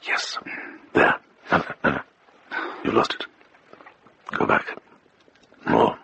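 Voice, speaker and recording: An actor playing a shrewd older monk who investigates, in a gravelly voice, speaking with measured, probing authority in a radio drama. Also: male voice, pushed and bright. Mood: intent, hushed.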